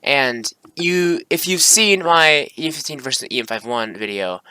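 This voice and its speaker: Young male video creator, forceful bright tone, dry close sound, casual rambling delivery with self-corrections. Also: medium pitch, animated, moderate pace, clear, resonant tone, no room sound. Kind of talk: casual screen-recording commentary, reading script aloud.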